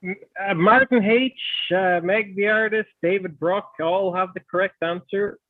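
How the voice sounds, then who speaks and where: Irish man, high voice, casual chat, frequent laughs, dry phone-like audio. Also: steady tone, normal speed, no echo. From Ireland. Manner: laughs often, stumbling restarts. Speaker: guest on a live stream. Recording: dry tinny phone-like line.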